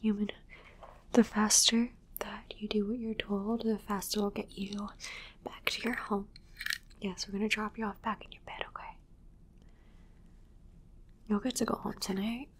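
A woman voicing a condescending female alien doctor in a soft whisper, giving matter-of-fact instructions in an ASMR exam roleplay. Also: mid-range voice, little pitch movement, measured pace, pauses often, breathy edge. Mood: clinical, insistent. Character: female alien doctor, clinical, condescending, studying human captive.

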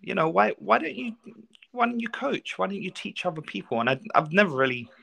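A man's voice: high-pitched, sing-song, a little fast, vocal fry, bright, forceful tone. A man with a North London English accent, a soccer coach, speaking as a guest in a casual, polite and reflective way, with frequent asides. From North London, England. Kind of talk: casual interview storytelling.

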